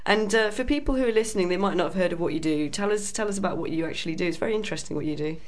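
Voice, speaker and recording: A woman's voice: lowish voice, racing. A woman hosting a local business radio show, upbeat and breezy. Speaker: radio host. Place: radio studio.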